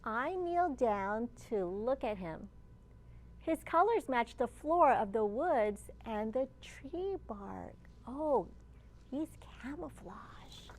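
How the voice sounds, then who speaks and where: A woman with a high voice reads a children's picture book as a storytime librarian. She takes her time, spacing phrases deliberately and turning to her young listeners with questions. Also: lively intonation, darkish tone.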